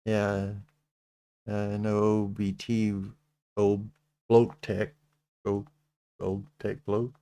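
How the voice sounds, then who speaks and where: Slow-talking Texan man, low smooth voice. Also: little pitch movement, dark tone, dry recording. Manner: slow, halting, many restarts. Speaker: channel host. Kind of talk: casual livestream chat.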